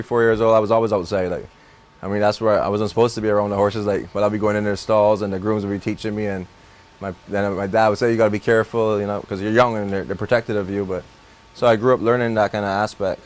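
A young man with a Barbadian accent talks fast in a low voice, rambling and restarting as he recalls his life in a relaxed interview. He sounds like a horse trainer chatting freely with a host. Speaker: racehorse trainer. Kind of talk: casual interview.

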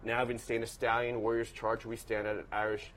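A man's voice: mid-range voice, level tone, brisk, fairly bright tone. A man of fifty who grew up in Washington, D.C., a professor and guest, talking through his background in a thoughtful, analytical way with many restarts. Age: 50s. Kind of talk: casual interview storytelling.

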